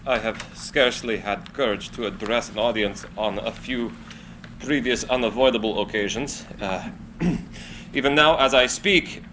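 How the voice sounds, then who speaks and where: An actor playing an eccentric male inventor who makes a formal, measured speech in a screenplay reading. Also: medium pitch, fairly bright tone. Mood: hesitant, humbled. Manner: formal, halting, measured oratory. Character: Nikola Tesla, eccentric formal male inventor, earnest orator. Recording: faint background room noise.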